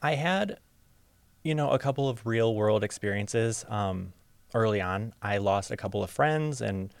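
Reflective young man, deep dry voice. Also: animated, gravelly, clean tone, dry recording. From Ohio, USA. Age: young adult. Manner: open, reflective, a little halting. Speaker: podcast guest. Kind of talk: casual interview storytelling.

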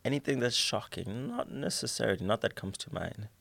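A man's voice: mid-range voice, fairly quick, vocal fry, no echo. A young man talking easily as a guest in an interview, with plenty of ums and restarts. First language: English. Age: young adult. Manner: relaxed, with many fillers and restarts. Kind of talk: casual interview answers. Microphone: studio mic at a table.

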